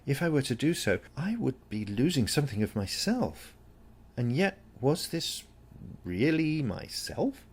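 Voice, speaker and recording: A man with a gravelly, husky voice narrating an eerie first-person story in a brooding, measured, suspenseful way, like a dramatic audiobook. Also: mid-range voice, very animated, a little mellow.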